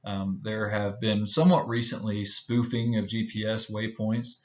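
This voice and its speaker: An American man from Houston giving an informal slide presentation, speaking hesitantly with many filled pauses. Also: lowish voice, steady tone, lo-fi sound. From Houston, United States. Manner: halting, hesitant delivery with frequent filled pauses. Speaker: presenter, pilot.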